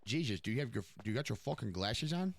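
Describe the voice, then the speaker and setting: A man's voice: mid-range voice, sing-song, fast talker. A man streaming improvised crime roleplay, talking in a profane, blustery wise-guy manner. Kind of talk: improvised in-character mob roleplay chat.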